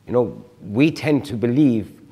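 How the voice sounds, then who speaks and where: A man's voice: mid-range voice, very animated, unhurried, dark tone, breathy edge, big-room sound. A man in his forties, a guest on a studio panel discussion, explaining his view reflectively with examples. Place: TV studio stage.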